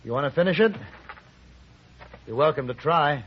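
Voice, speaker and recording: A man's voice: on the high side, expressive, natural voice. Man acting a wry, composed western bounty hunter, muffled recording. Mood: firm. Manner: measured, dry, formal wording. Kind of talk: old radio western acting. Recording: muffled, noisy old radio drama recording.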